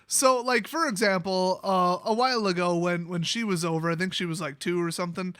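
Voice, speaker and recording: An American man telling personal stories in a casual, rambling vlog style, animated and prone to exaggeration. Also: high voice, brisk, smooth, no echo, clean studio sound. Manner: animated, exaggerating, quotes people in acted-out voices. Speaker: YouTube vlogger.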